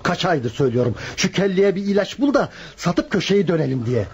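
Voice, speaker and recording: An actor in a radio drama playing a fed-up middle-aged barber and father, husky, complaining and sarcastic. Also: sits high, big pitch swings, a little fast, darkish tone, full character voice. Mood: sarcastic grumbling. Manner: complaining, sarcastic, repeating himself. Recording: dull lo-fi radio recording.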